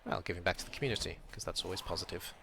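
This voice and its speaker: A young British man from Wales with a slight European tilt to his accent, chatting casually while he streams a game, reading chat and thinking out loud. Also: low-pitched, fairly even intonation, rapid-fire, gravelly, a little hiss or hum. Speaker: streamer playing a video game. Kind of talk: casual live-stream commentary.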